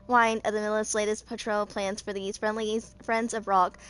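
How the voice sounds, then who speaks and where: A woman in her twenties reads video game character stories aloud for her channel. Her voice sits at a medium pitch. She stumbles on words, goes back over them, and slips into casual asides. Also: flat delivery, normal speed, a bit bright, clear, resonant tone. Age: 20s. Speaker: gaming channel host.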